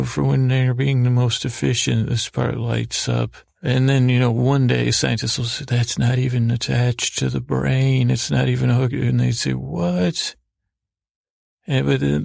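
Male podcast host for sleepy, mock-serious monologues: heavy vocal fry, even intonation, halting and meandering delivery. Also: medium pitch, dry recording, studio quality. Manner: meandering, halting, repeating half-words. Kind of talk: rambling bedtime monologue to help listeners sleep.

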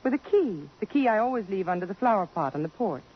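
A woman's voice: mid-range voice, very animated, quick pace, slightly rough. An actress playing a poised, self-possessed young woman under suspicion in a 1950s radio drama, composed, direct and earnest. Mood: defensive. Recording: dull lo-fi 1950s radio recording.

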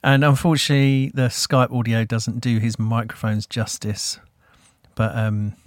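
A man hosting a podcast, talking in a relaxed, rambling way with many fillers. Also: medium pitch, a little slow, no echo, clean studio sound. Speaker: podcast host. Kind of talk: casual interview chat.

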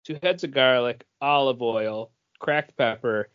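A man's voice: mid-range voice, measured pace, smooth. A man in casual conversation as a podcast co-host. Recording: dull, lo-fi audio.

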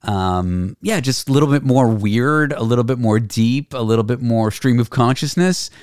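A man's voice: medium pitch, moderate pace, darkish tone, clear, resonant tone, no echo, studio quality. A man with a Canadian accent hosting a podcast, chatting loosely and joking at his own expense. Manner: joking, rambling, self-deprecating. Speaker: podcast host. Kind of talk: casual chat.

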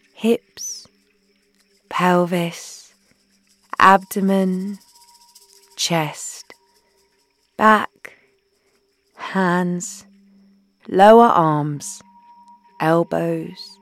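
A woman leading a guided meditation in a soothing, gentle, unhurried way, leaving long pauses between phrases and softening into breathy endings. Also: on the low side, no echo. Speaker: guided meditation leader, founder.

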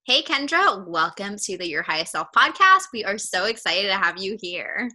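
A woman's voice: mid-range voice, big pitch swings, moderate pace, sharp tone. A young woman from California hosting a podcast, sounding stuffed up and a little nasal from a cold, bubbly and gushing with frequent laughs. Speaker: podcast host. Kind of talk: upbeat podcast intro.

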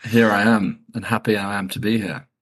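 A man, a podcast guest casually telling stories about his upbringing in a relaxed, chatty, self-deprecating way. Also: fairly low pitch, brisk, husky. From England. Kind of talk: casual conversational storytelling.

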